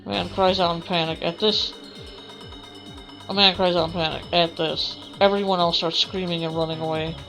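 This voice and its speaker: A woman's voice: lowish voice, fairly even intonation, moderate pace, a bit bright, rough recording. A woman gamer reading visual novel narration aloud, with casual asides of her own. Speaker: gamer doing a let's play. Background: game music.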